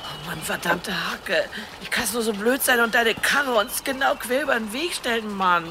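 An actress playing a coarse, cursing, exasperated accomplice in a radio drama, blunt and slangy. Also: female voice, a little high, moderate pace, background noise, natural voice.

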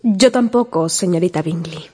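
Earnest young gentlewoman. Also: fairly low pitch, moderate pace, husky. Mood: flustered, polite. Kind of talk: radio drama acting.

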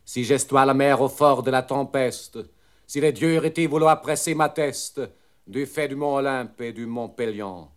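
A man voicing a computer unit in a radio play, declaiming classical verse in a measured way. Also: medium pitch, clean tone, lightly acted. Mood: declamatory. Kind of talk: radio play poetry recitation.

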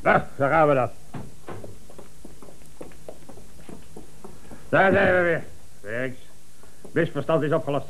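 Blustering, gravelly company boss. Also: male voice, on the high side, normal speed, a bit bright, slight background noise, low-quality recording. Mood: businesslike. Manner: exclaiming, bossy. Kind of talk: comic radio play acting. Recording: 1970s radio play recording.

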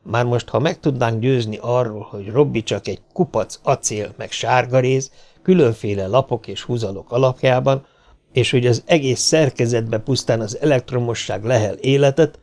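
A man's voice: medium pitch, darkish tone, natural voice. A man voicing a self-satisfied father who explains and reasons confidently in a storybook. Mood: smug, persuasive.

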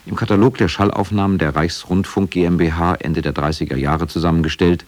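A man reading scripted factual narration for a radio documentary in a measured, sober manner. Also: deep voice, steady tone.

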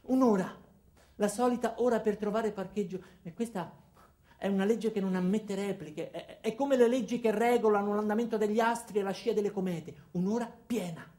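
An actor playing Alfredo, an ordinary weary man confiding his story haltingly in a theatrical radio monologue. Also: high voice, mellow, lightly acted. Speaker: monologue narrator. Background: music.

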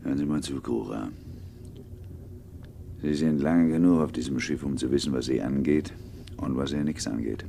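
An actor playing an impatient, domineering ship's officer in a radio drama, speaking in curt commands. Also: male voice, extremely low pitch, normal speed, creaky, long pauses, warm tone. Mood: stern, warning. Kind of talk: 1950s radio drama acting. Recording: slightly noisy 1950s radio recording.

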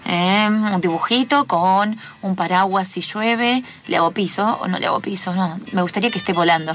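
A woman's voice: mid-range voice, normal speed, a bit bright, natural voice. A woman playing a nervous, dreamy, polite job applicant in an improvised radio play. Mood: nervous, hopeful. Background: music.

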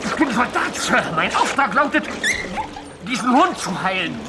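An actor playing a dutiful, anxious young naval man, deferential and halting, in a radio play. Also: extremely high pitch, big pitch swings, loud music behind the voice. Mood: anxious, urgent. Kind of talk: German radio play acting. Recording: very noisy outdoor radio drama scene.

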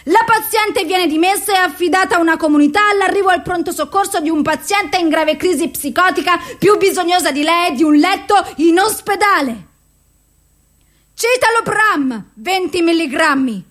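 Radio drama: female clinical reporter, very high voice, clipped theatrical recital of symptoms and doses. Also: moderate pace, fairly bright tone. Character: clinical female voice reciting a psychiatric case file.

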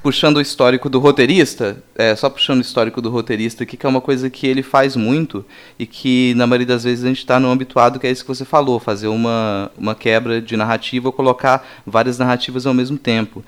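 A man's voice: mid-range voice, steady tone, fast, few pauses, clear, resonant tone. A Brazilian man hosting a film discussion, explaining his points in an orderly, conversational way and restating them to clarify. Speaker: podcast host.

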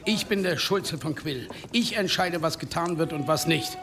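A man acting an emphatic village headman in a German radio drama, firm and urging calm with authority. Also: fairly high pitch, moderate pace, faint music behind. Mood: firm, commanding. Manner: emphatic, declamatory.

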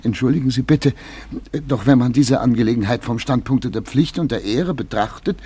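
An actor playing a nervous, self-important major in a German radio play, halting and politely indignant. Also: male voice, mid-range voice, dark tone, breathy edge, close to an everyday voice. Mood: flustered, pompous. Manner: hesitant, halting, stammering politeness.

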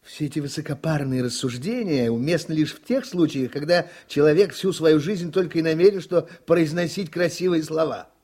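An actor playing a hard-driving, scornful political operator in a radio drama, with a commanding, rhetorical delivery. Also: male voice, a little high, normal speed, few pauses, darkish tone, husky. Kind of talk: Soviet-era radio drama acting.

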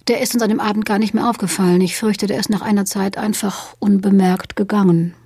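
A woman's voice: medium pitch, steady tone, fairly quick, mellow, slightly rough, no echo, studio quality. A woman performing a wry storyteller who recounts a literary gathering in a radio play. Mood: wry storytelling.

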